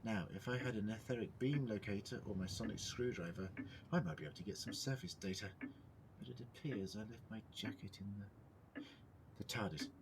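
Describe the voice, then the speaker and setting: A man's voice: fairly low pitch, a little fast, vocal fry, a little hiss or hum, rough recording. A man playing an eccentric, ancient alien time traveller in an audio drama, theatrical and self-questioning, shifting between muttering and declaiming. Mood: inquisitive, indignant. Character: eccentric thousand-year-old male alien time traveller, muttering, indignant.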